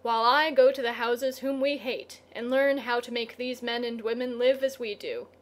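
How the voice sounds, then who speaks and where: A woman's voice: a little high, fairly even intonation, moderate pace, close to an everyday voice. Woman acting male lion god, proud, hot-tempered, emphatic, declamatory, storybook character. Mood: determined.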